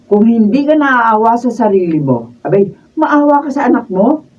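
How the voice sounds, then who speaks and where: An actress playing an indignant middle-aged aunt in a Filipino radio drama, husky and urging. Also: female voice, a little high, animated, moderate pace, darkish tone. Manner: urging, emphatic. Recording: muffled, distant mic.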